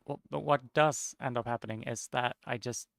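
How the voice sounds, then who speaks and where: A young man with a Norwegian accent, chatting casually while streaming a game, somewhat monotone and mumbling with unclear diction. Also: mid-range voice, moderate pace, creaky, no room sound, studio quality. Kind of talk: casual chat while gaming.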